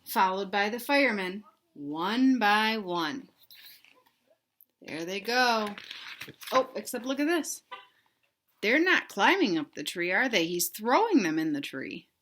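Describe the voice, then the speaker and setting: A woman's voice: mid-range voice, sing-song, slow pace, pauses often, fairly bright tone. A woman reading a picture book aloud to young children, expressively, with simple explanations and questions to the listeners. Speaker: read-aloud storyteller for children.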